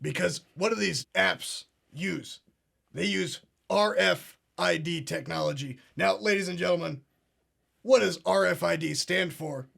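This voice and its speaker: A pompous conspiracy show host with a gravelly, husky voice that sits fairly high. He speaks in a solemn, earnest way and plays every claim completely straight. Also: a little slow, fairly bright tone, heavily acted. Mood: smug earnest. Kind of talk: deadpan parody talk show.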